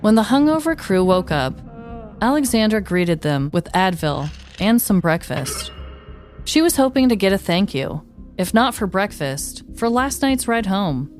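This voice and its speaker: A woman's voice: mid-range voice, very animated, unhurried, soft background music. A woman podcast host narrating a scripted comedic story.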